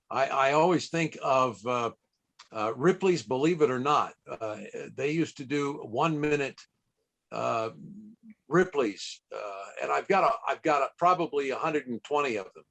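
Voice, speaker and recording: An older man chatting casually on a video call, halting often and hesitating as he goes. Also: medium pitch. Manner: halting, hesitant delivery with many pauses. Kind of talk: casual unscripted chat.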